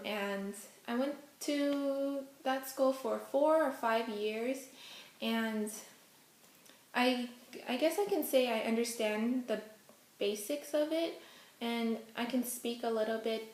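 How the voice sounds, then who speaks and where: Young woman vlogger, first language Hokkien, slightly high voice, casual and chatty in a roomy echo. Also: takes it slowly, a little mellow. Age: young adult. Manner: chatty, many ums, giggly asides. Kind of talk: casual Q&A vlog. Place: indoors at home, face out of frame.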